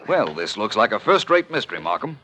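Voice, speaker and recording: Suave radio detective from the 40s, low bright forceful voice, polished and self-assured. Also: male voice, very animated, brisk, slight background noise. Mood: intrigued, alert. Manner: polished, articulate, unruffled. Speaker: actor in radio drama. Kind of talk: 1940s radio acting. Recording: vintage 1940s radio recording.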